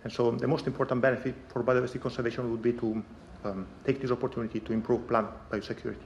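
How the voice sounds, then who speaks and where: A man presenting a scientific conference talk in a lecture hall, measured and pausing often with ums. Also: medium pitch, little pitch movement, darkish tone, muffled recording, slight background noise. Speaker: conference speaker.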